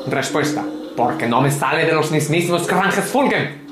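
A man's voice: on the high side, animated, normal speed, reverberant, over music. A man, giving sardonic, grumbling, mock-pompous gameplay commentary from a home room. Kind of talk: sarcastic ranting game commentary.

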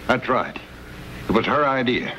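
A man's voice: mid-range voice, expressive, normal speed, bright, forceful tone. An actor playing a desperate frontier henchman in a 1950s television western. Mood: defensive. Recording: noisy old TV soundtrack.

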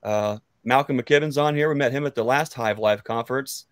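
Man, thirties, American accent, middle pitch, friendly, hesitant, meandering casual chat, dry close-miked sound. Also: a little fast, no echo. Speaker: beekeeper, guest on a live chat. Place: book-lined room at home. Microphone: close studio mic on an arm.

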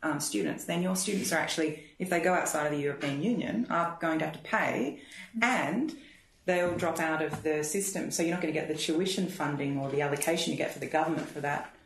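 A woman's voice: deep voice, brisk. A woman taking part in an informal seminar discussion. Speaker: seminar participant. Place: classroom, indoors. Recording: echoey room with faint noise.

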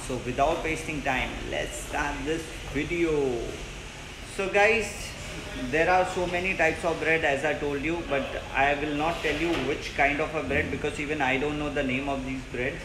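A man's voice: a little high, moderate pace, background noise. A man in his thirties presenting a casual vlog to his viewers, recorded on a phone held at arm's length. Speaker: vlogger, channel host. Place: large empty indoor mess room on a ship. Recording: roomy echo with music behind.

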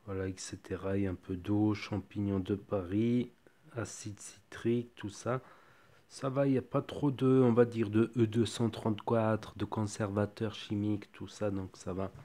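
A man with a stuffy cold talks through a food tasting at his kitchen table. His voice sits a little low, and he keeps a loose, thinking-aloud manner full of small fillers. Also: fairly even intonation, normal speed, dark tone. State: has a cold. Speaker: food reviewer. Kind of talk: casual product tasting review.

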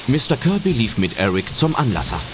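A man's voice: mid-range voice, sing-song, fairly quick. A man reading scripted audio drama narration as a storyteller. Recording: narration over loud film music.

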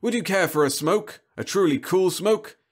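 A bright, oblivious robot salesman, as a man puts him on chirps his patter high and loud. He sounds relentlessly cheerful and mechanical in his eagerness, a storybook machine that never stops selling. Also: normal speed, breathy edge. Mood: chirpy.

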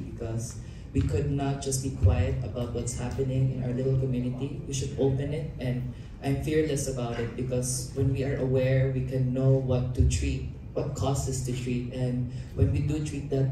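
A young man answers the press in fluent, speech-like phrases. His pitch barely moves. The sound is far off and very echoey, with steady hall noise underneath. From Philippines. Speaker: pageant titleholder. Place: event hall stage. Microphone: handheld mic, far camera.

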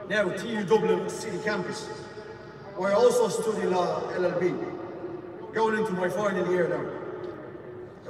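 A man in his twenties, from Iraq, giving an earnest prepared speech at a podium on an outdoor stadium pitch. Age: 20s. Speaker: speaker at a public event. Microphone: podium mic over the stadium PA, heard from the stands. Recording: echoey stadium PA from far off.

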